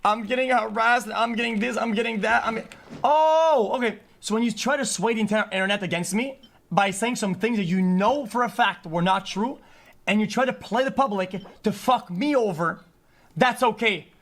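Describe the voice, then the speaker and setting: A man's voice: sits high, normal speed, creaky, a bit bright. A man livestreaming an unscripted, agitated rant to his chat, swearing often and stumbling over repeated words.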